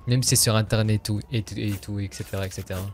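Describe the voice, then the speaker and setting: A man's voice: lowish voice, fairly even intonation, very fast, a little mellow, a little hiss or hum. A man live-streaming a game in casual, slangy French sprinkled with English gaming terms, chatting as he plays.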